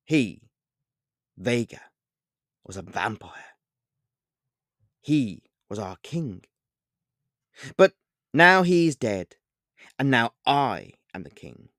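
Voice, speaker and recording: A man's voice: medium pitch, sing-song, slow pace, pauses often, a bit bright, dry recording, clean studio sound, full character voice. A man voicing a smug, menacing male vampire king who talks grandly and savors each word, in a storybook reading.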